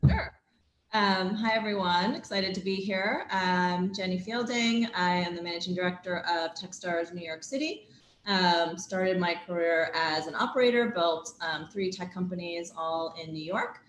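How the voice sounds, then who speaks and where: A woman introducing herself on an online panel, speaking in a measured way with fillers. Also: lowish voice, roomy sound, a little hiss or hum. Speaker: managing director, panelist. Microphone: video call.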